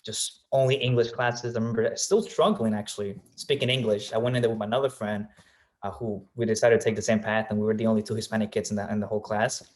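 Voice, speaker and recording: Colombian-born man, low-voiced, thoughtful. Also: steady tone, quick pace, darkish tone. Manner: thoughtful, many fillers and restarts. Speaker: guest, real estate agent. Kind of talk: casual interview answers.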